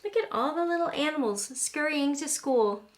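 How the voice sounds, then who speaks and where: A woman reading a storybook aloud to young children, expressive and instructive, pausing to ask questions and explain. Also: high-pitched. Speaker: read-aloud host teaching young children.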